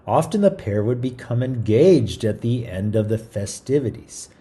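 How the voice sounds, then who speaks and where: Man, read-aloud storyteller, lively intonation, mellow relaxed tone, informal, casual asides. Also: medium pitch, normal speed. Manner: informal, chatty, stumbles while reading. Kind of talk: reading a picture book aloud with casual asides.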